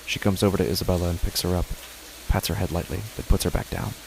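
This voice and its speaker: A man narrating a first-person horror story in a measured, tense way. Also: low voice, level tone, gravelly, noisy background.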